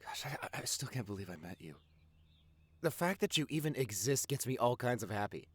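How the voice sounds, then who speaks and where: A man's voice: mid-range voice, very animated, husky, dry recording. A man playing a teasing, silly, doting boyfriend who has just woken up, rambling and gushing in an intimate audio roleplay. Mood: tender, adoring. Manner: rambling, gushing, halting with repeated words.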